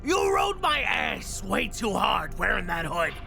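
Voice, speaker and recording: An actor in an audio drama playing a blunt, hot-tempered henchman from the streets, talking in casual, rough slang. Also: high voice, wildly swooping pitch, unhurried, sharp tone, raised voice, faint music behind, full character voice. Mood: furious.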